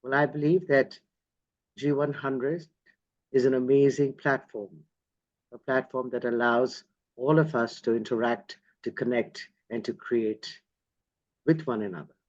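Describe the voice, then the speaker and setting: A man's voice: mid-range voice, fairly even intonation, measured pace, highs cut off. A man hosting an online interview over a video call, enthusiastic and effusive. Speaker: host.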